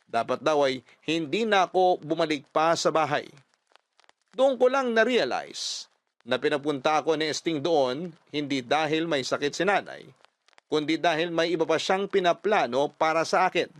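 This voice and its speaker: A man's voice: a little high, normal speed, no room sound. A man with a Filipino accent giving dramatic scripted narration as the storyteller of a radio drama.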